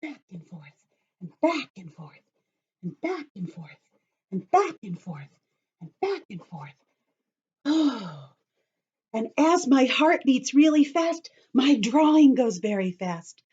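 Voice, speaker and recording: A woman teacher leading young children through a calming drawing activity, speaking gently and encouragingly straight to them. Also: high-pitched, slow, long pauses, breathy edge. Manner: gentle, encouraging, talks directly to kids. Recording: dull lo-fi home video sound.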